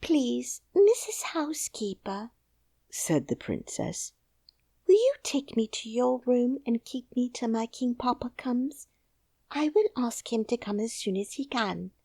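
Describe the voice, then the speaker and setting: Woman as little princess, calm, firm, high voice, dry recording. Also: a little slow, slightly rough, no room sound, exaggerated voice. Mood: pleading. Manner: quiet, composed, firm. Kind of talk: audiobook character voice.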